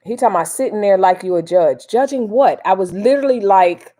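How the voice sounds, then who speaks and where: A woman's voice: medium pitch, a little fast, mellow. An American woman hosting a casual livestream, rambling informally in folksy slang as she answers viewers. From Miami, United States. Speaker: livestream host. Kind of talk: casual live chat with commentary.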